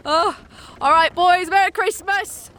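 A woman playing a meek, polite male clerk in an audio drama, deferential and soft-spoken. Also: very high voice, unhurried, a little hiss or hum, exaggerated voice. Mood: cheerful.

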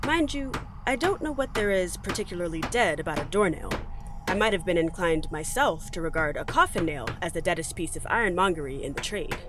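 A woman's voice: lowish voice, lively intonation, a little fast, background noise. A woman narrating a story theatrically, with emphatic, dramatic delivery. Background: music.

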